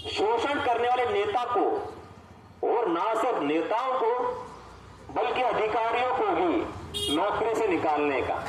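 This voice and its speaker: A man giving an emphatic, challenging political street speech, repeating phrases and asking rhetorical questions over a loudspeaker. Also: sits high. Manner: exhorting, challenging. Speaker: political activist orator. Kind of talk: street election speech, oratory. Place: public address over loudspeaker. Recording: loudspeaker speech, heavy echo, some noise.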